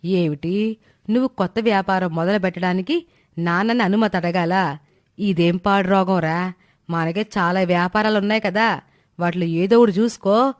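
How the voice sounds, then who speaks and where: Woman voicing a grumbling low-pitched older village mother. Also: moderate pace, pauses often, natural voice. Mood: scolding. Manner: scolding, colloquial rustic speech. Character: stern older mother, grumbling but soft-hearted village matriarch. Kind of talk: animated moral story dialogue.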